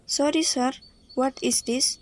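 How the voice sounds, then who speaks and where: A woman's voice: high voice, steady tone, a little slow. A young woman playing an anxious student in an amateur horror radio drama. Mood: questioning. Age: young adult.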